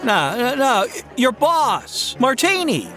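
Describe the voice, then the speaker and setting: A man's voice: very high voice, sing-song, takes it slowly, fairly bright tone, faint music behind, full character voice. A man playing a troubled, bewildered small-town family man in an old-time radio drama, with a hesitant, stammering delivery. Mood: confused.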